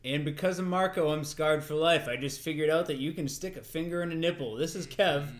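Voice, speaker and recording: A man's voice: fairly high pitch, fairly quick. A man hosting a casual movie-review podcast, joking and swearing freely. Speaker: podcast host.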